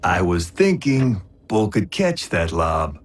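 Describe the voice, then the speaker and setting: A man voicing a cocky, battle-hardened alien robot warrior in an animated action series, wry, swaggering and laconic, with a brash wisecracking streak. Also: deep voice, slow pace, noisy background, full character voice. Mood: dry, bold. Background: music.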